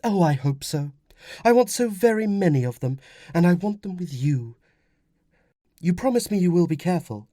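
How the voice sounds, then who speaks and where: A man voicing a young Roman mother in an audiobook, firm, fretful and gently insistent. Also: high voice, expressive, moderate pace, pauses often, no echo, clean studio sound, voice pushed up. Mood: wistful. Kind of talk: audiobook character dialogue.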